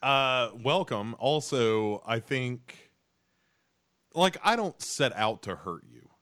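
A man's voice: mid-range voice, very animated, takes it slowly, fairly bright tone. A young man co-hosting a podcast, recapping an episode in a laid-back, self-deprecating way. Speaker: podcast co-host. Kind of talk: casual chat, recapping episodes.

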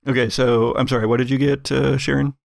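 Male game master, deliberate theatrical storyteller, gravelly fry, close dry sound. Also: mid-range voice, flat delivery, dry recording. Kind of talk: dramatic scripted narration and game narration.

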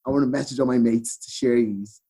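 Male guest, Irish accent, relaxed dark timbre, middle pitch, loose conversational storytelling. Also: fairly quick, husky. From Ireland. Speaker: podcast guest. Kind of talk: casual chat, storytelling.

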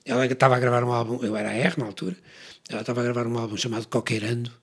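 Gravelly fast-talking Portuguese man. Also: medium pitch. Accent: European Portuguese. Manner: animated storytelling, quick with many restarts. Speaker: songwriter guest. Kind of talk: casual chat.

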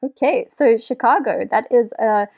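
A woman's voice: on the high side, normal speed, a little mellow. A woman hosting a podcast, reading a scripted intro and then asking friendly questions. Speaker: podcast host. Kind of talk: podcast interview.

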